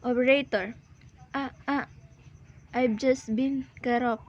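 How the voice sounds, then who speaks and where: A woman playing an anxious, sickly, demanding wife in an amateur radio play, fretful, halting and insistent. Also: sits high, fairly even intonation, dull audio. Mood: shaken.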